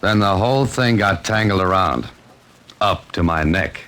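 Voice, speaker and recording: An old radio recording with loud music carries a self-possessed American sleuth. His voice is low and moves freely in pitch, with a dry, laconic delivery in 1940s radio drama style. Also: expressive, a little slow. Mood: wry, narrating. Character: wry, self-possessed male amateur sleuth narrating his case.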